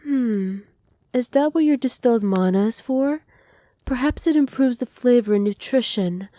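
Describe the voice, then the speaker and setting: A woman playing a doting, possessive witch in an ASMR roleplay, speaking softly with teasing, condescending affection. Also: fairly high pitch, expressive, normal speed, no echo, natural voice. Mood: soft, coaxing. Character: doting, possessive witch mistress pampering her captive pet. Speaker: voice actor.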